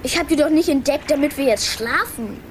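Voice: sits high, brisk, natural voice. A young performer playing an eager, adventurous child helmsman in a children's radio play, giving earnest lookout reports. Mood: eager, insistent. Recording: loud boat and water noise behind.

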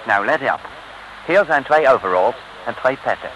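An actor playing a polite, secretive British police inspector with an English accent, mixing English words in, in old radio serial style. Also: male voice, medium pitch, animated, normal speed, a bit bright, lightly acted. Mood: earnest, instructing. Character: courteous British male police inspector working undercover. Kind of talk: 1950s-60s radio serial acting. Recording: noisy old radio recording.

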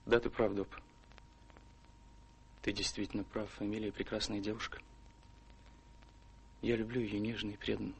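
An actor playing a carefree, charming young officer and rake in a radio drama, with a breezy, gallant, theatrical way of talking. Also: male voice, fairly low pitch, flat delivery, moderate pace, gravelly, pauses often, a little hiss or hum, poor audio. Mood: tender, contrite.

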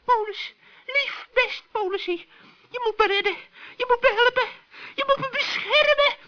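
Guy doing a super high screechy old witch lady, slow and bright, frantic and pleading, old kids radio play. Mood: panicked pleading. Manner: panicky, pleading, stammering. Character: frantic, wheedling old female forest witch. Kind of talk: children's radio play acting. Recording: dull old radio recording.

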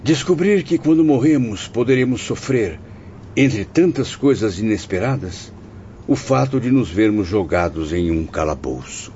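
A man's voice: lowish voice, expressive, measured pace, warm tone, slight background noise. A man acting a troubled, questioning spirit who recounts his memories in a radio drama, grave and literary. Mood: perplexed. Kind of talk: radio drama narration and dialogue. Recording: dull lo-fi audio over music.

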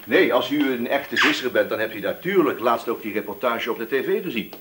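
A man's voice: medium pitch, lively intonation, brisk, background noise, lightly acted. A man playing a wily, relentless police inspector in a radio drama, feigning casual chat and probing until he accuses. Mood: deceptively casual. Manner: probing, hesitant feints turning accusatory.

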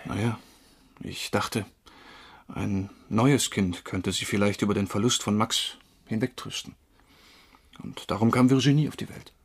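An actor playing a troubled former drinker, wrongly convicted, confessing haltingly in a crime radio drama, with a husky voice. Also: male voice, on the low side, steady tone. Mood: sombre, reflective. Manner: halting, brooding.

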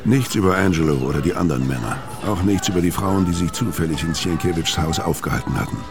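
Brooding killer narrator, very deep voice. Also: male voice, quick pace, over music. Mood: brooding, matter-of-fact. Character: brooding hard-drinking male fugitive killer narrating his story. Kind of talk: scripted first-person crime radio play narration.

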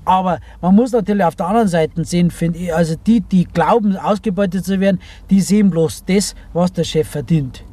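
Man, high-pitched voice, soft dark tone, casual opinionated talk. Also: a little fast, few pauses. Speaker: truck driver. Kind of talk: casual opinion in an original-sound radio collage.

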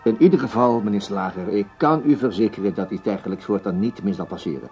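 An actor playing a flustered, fawning broadcasting boss in a radio play, stammering and turning snappish with orders. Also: male voice, fairly low pitch, fast, mellow, dull audio, faint music behind, natural voice. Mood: fawning, apologetic. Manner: stammering and obsequious, snapping when giving orders. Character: flustered, fawning male broadcasting boss who barks at staff.

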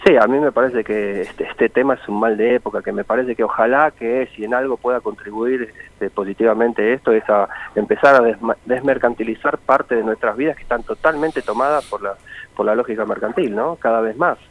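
A man's voice: mid-range voice, brisk, dry recording. A man with a Buenos Aires Argentine accent explaining things informally and reflectively in a radio phone interview, often seeking agreement. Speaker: interviewee, training centre director. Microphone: phone call. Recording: thin, narrow phone-line sound.

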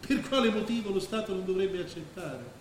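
A man's voice: sits high, expressive. Grandiose scheming businessman, very echoey stage. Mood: grandiose, persuasive. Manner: declamatory, rhetorical, with weighted pauses. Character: grandiose, scheming businessman, former artist, persuasive and cynical. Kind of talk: theatrical stage drama acting. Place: indoor stage of a theatre. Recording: very echoey theatre stage, faint noise.

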